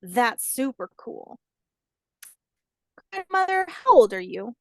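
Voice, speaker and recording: Woman putting on an eager, high little alligator hatchling. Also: sing-song, takes it slowly, no echo, clean studio sound. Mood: amazed. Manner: eager, wide-eyed questioning.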